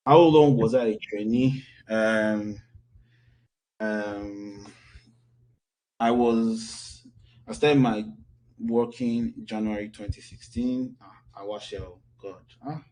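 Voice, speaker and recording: Nigerian guy in his 30s teaching a class, deep mellow voice, rambly and relaxed. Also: takes it slowly, poor audio. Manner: loose, chatty, with lots of fillers and restarts. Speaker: class instructor. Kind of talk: online class Q&A.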